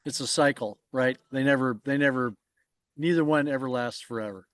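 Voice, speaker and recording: A man's voice: medium pitch, moderate pace, a bit bright, no echo. A man co-hosting an interview show who rambles and halts, repeating words as he restarts his sentences. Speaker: co-host. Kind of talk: casual interview chat.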